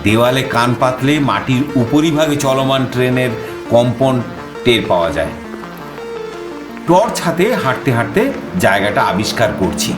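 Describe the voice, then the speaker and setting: With echo and music around him, an actor plays an eager male adventurer telling a suspense tale. His delivery is highly animated and expressive, built for audio drama. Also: moderate pace, pauses often, natural voice. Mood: suspenseful, excited. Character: male first-person narrator, adventurous, eager explorer visiting Paris. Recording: echoing voice over background music.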